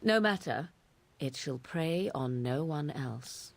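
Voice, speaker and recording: An actress playing a cool, sardonic witch in a fantasy video game, measured and theatrical. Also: low-pitched, animated, creaky, full character voice. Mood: dark musing. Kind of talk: scripted video game dialogue.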